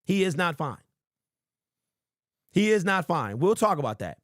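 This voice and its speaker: Excitable guy riffing on sports, calls everyone bro, kinda high voice, dry close mic. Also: a little slow, no room sound. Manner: animated, rambling, calls listeners bro. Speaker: sports podcast host. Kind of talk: casual sports talk show, reading chat.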